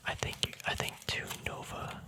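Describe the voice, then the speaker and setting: A man speaking in a soft, breathy whisper in a casual, relaxed ASMR style, thinking aloud close to the microphone. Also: a little slow. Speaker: ASMR video creator. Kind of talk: whispered ASMR, casual rambling card showcase.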